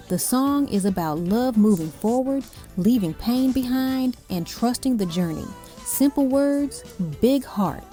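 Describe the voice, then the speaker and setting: A woman narrates a music countdown from a script. Her voice is mellow and sits at a medium pitch, and she lands short, punchy phrases with emphasis. Music plays faintly underneath.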